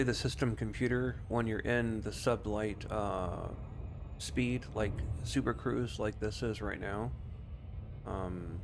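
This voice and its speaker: Low-voiced man rambling over noisy gameplay. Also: gravelly. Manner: rambling, loosely explanatory. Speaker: game streamer. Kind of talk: casual live gameplay commentary. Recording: noisy home streaming setup.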